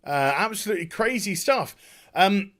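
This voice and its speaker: A man talking casually and off the cuff as a video host, emphatic and excitable, often halting and repeating his words. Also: high voice. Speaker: video host giving a game story discussion. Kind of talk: unscripted casual rambling commentary.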